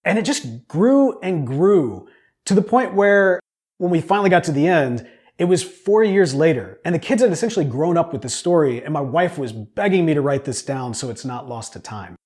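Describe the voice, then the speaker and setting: A middle-aged man telling a personal story in a conversational, earnest way as he pitches his crowdfunding project. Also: expressive, moderate pace, a little mellow. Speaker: podcast producer and storyteller pitching his audio drama.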